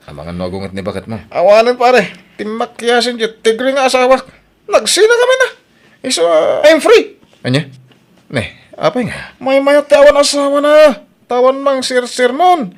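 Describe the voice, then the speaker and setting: A man's voice: very high-pitched, very animated, normal speed, full character voice. A male actor playing a troubled, self-pitying husband who drinks, in an Ilocano radio drama, speaking with an Ilocano accent. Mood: tipsy, boastful.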